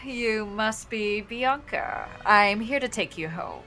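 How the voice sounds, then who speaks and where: Woman acting uptight, hesitant job seeker, unhurried. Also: fairly high pitch, music in the background. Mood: irritated. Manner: hesitant, stammering. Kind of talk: comedic improvised radio drama table read.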